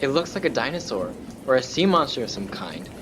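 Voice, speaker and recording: Puzzled, uneasy lighthouse companion played by a man, mid-pitched, hesitant and sceptical, radio play with rain behind. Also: sing-song, fairly quick, lightly acted. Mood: uneasy, baffled. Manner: hesitant, casual, questioning. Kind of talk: student radio play acting. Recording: rain and thunder noise behind. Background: rain and thunder.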